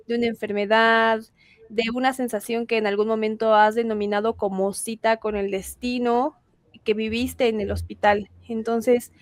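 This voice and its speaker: A woman with a Mexican accent co-hosting a podcast, asking long, measured questions in a friendly way. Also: a little high, dry recording. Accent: Mexican Spanish. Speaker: podcast co-host. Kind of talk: podcast interviewing.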